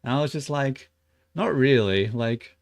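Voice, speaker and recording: A young Australian man livestreaming while he works, chatting loosely with lots of "like" and muttering curses at his software. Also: mid-range voice, lively intonation, measured pace, vocal fry, no room sound. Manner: rambling and loose, heavy on "like", muttering and swearing at the software. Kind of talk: casual livestream chat while 3D modeling.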